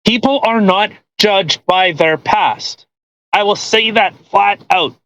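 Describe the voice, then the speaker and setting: A man with a voice a little higher than average talks casually as a podcast co-host. He is sarcastic and argumentative, picking things apart in a mocking way. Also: slow pace, fairly bright tone. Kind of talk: casual chat, mocking commentary on a post read aloud.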